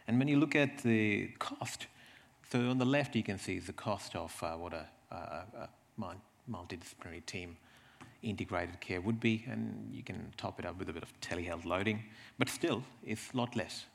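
A man giving a slide presentation, explaining things in a halting way with repeated words and asides. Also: low-pitched, gravelly. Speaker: presenter from a health service.

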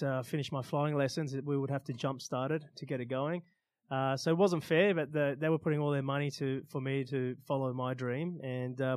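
Male Australian storyteller, conversational, average pitch, unhurried hesitations between phrases, dry room sound. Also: no room sound. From Australia, born in Iran. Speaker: interview guest, stunt pilot and motivational speaker. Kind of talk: casual storytelling in an interview.

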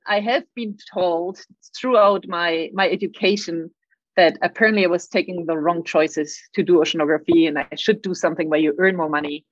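A woman's voice: on the low side, no room sound, highs cut off. A middle-aged woman with a German accent, answering interview questions with frequent stammered repeats and light laughs. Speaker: guest, climate scientist.

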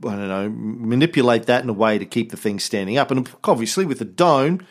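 A man's voice: medium pitch, fairly quick. A man hosting a podcast in casual chat, joking around and swearing freely. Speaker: podcast host.

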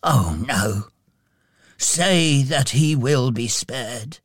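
A man voicing an anxious, remorseful miser in a storybook, halting and pleading. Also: mid-range voice, expressive, no room sound, studio quality, heavily acted. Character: anxious, remorseful male miser humbled by visions.

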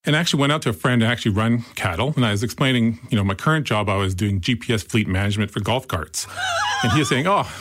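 A man with a Canadian accent, a founder explaining his product in an interview with quick enthusiasm. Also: on the low side, lively intonation, a bit bright, slight background noise. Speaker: startup founder. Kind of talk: interview answers.

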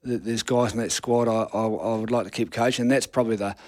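A man's voice: on the low side, steady tone, brisk, a little mellow, no room sound. Middle-aged kiwi guy, rugby coach, blunt and chatty, keeps restarting, dry close mic. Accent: New Zealand. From New Zealand. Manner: frank, many restarts and fillers. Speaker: rugby assistant coach guest. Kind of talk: casual interview answers.

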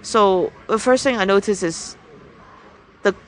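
A woman's voice: mid-range voice, unhurried, low-quality recording. Woman, frank winery owner. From Hong Kong. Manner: frank, with frequent restarts and hesitations. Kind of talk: casual interview chat.

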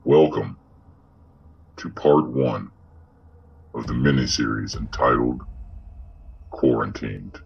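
An ominous drama announcer voiced by a man with an extremely deep, gravelly voice. The delivery is slow, husky and theatrical. It sounds muffled, with music underneath. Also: heavily acted. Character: ominous male announcer introducing a quarantine drama. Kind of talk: dramatic scripted narration.

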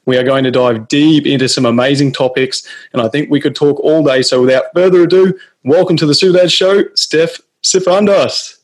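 A man hosting a podcast, reading a scripted intro slowly, then asking casual interview questions. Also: a little high, poor audio. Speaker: podcast host.

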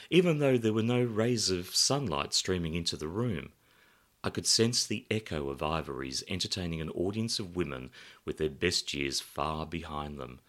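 Very low-voiced man reading fiction, lively intonation. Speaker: author reading own novel. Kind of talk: expressive first-person literary reading.

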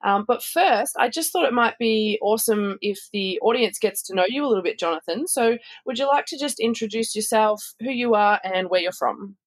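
A woman's voice: a little high, normal speed, few pauses. A woman hosting a podcast interview, upbeat and chatty with frequent fillers. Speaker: podcast host.

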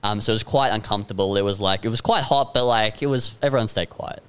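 Young Aussie male co-host, fast and crisp, self-deprecating and giggly. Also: lowish voice, fairly bright tone. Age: young adult. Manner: self-mocking, giggly storyteller. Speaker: radio co-host. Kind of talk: casual radio banter.

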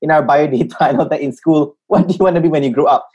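A Malaysian man, a radio announcer, hosting a casual interview with a lively, playful manner, often acting out quotes in a raised voice. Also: on the high side, fast, husky, highs cut off. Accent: Malaysian English.

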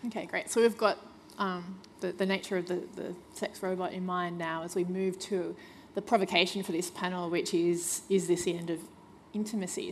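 Woman moderator, kinda low voice, okay great yep, slight hiss behind. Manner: brief affirmations, hesitant framing. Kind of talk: moderating a panel. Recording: faint background noise.

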